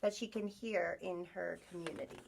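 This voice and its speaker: A woman teacher reading a picture book aloud to young children in an expressive storytelling style, acting out sound effects. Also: on the low side, normal speed, vocal fry, slight background noise. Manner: animated, acts out sound effects, asks listeners questions.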